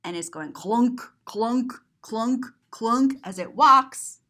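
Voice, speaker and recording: A woman reading a picture book aloud to children in an expressive, sing-song way, drawing out words and describing the pictures. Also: on the high side, slow. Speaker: children's story reader and teacher.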